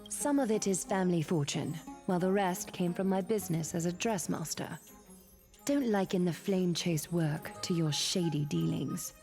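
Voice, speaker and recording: An actress playing a noble, stern demigod woman in a video game, speaking in a formal, measured, commanding way. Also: on the low side, vocal fry, mellow, soft background music. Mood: stern, solemn. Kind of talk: video game character acting.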